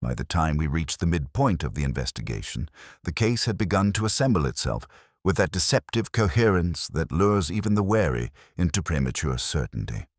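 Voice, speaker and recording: A man with an extremely deep voice reads a scripted detective story in the first person. His delivery is measured, brooding and literary, with plenty of rise and fall in pitch. The sound is very dry, with no room around it. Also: sing-song, no echo. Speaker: narrator.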